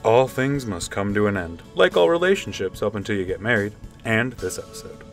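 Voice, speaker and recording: A man's voice: lowish voice, very animated, brisk. A man playing a mock-sincere late-night love-show DJ, delivering parody radio announcements. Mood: wry. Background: plucked guitar music.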